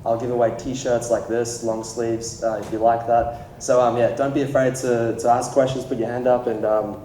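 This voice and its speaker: A man hosting a meetup, giving an informal, halting welcome speech to a room. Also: mid-range voice, little pitch movement, mellow. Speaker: meetup host. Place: indoor meetup room with audience. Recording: echoey room, distant mic, faint noise.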